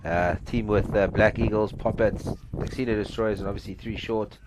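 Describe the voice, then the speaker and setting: A man from South Africa with a South African accent, giving conversational, somewhat halting live cricket commentary. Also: on the low side, fairly even intonation, background noise. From Cape Town, South Africa. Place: outdoors at a cricket ground.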